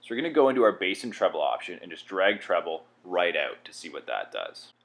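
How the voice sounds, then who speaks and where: Deep-voiced man presenting a tutorial. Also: big pitch swings, fairly quick, fairly bright tone. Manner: explanatory, plain-spoken. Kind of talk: instructional software walkthrough.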